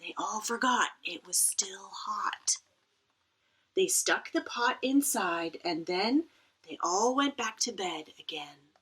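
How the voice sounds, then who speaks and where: Woman, children's storybook read-aloud, expressive, bright voice, unhurried pace, dramatic emphasis. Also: mid-range voice, slightly rough, poor audio. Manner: animated, dramatic emphasis with playful asides. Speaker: teacher reading a picture book aloud.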